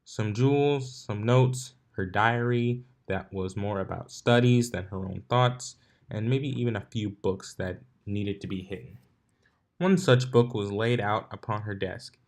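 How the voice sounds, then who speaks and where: A man's voice: lowish voice, measured pace. A man reading fan fiction aloud as narrator, in an amateur style with occasional stumbles over the words. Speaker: fanfiction narrator.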